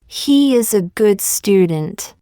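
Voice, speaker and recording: A woman reading short practice sentences aloud for learners, saying each one twice, slowly and carefully. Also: mid-range voice, lively intonation, mellow, dry recording, clean studio sound. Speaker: English teacher.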